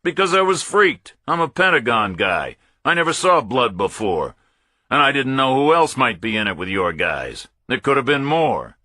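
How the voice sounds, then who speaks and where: A man's voice: medium pitch, sing-song, moderate pace, pushed and bright, no echo, clean studio sound, pitched above the performer's own voice. A man voicing a cornered Pentagon officer in a thriller audiobook, arrogant and impatient, insisting on his story in a glib, defensive way. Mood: agitated, pleading.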